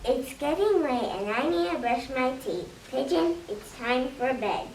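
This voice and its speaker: A woman's voice: sits high, big pitch swings, a little slow, darkish tone, heavily acted. A young girl playing a firm woman at bedtime in a school reader's theater. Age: child. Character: firm woman putting a pigeon to bed. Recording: roomy, distant mic with some noise.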